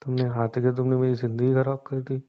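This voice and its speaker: A man's voice: mid-range voice, monotone, fairly quick, natural voice. Exasperated young husband played by a man, muffled recording. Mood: complaining. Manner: complaining, pleading, defiant grumbling. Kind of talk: naturalistic husband-wife phone call skit. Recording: dull, lo-fi phone call recording.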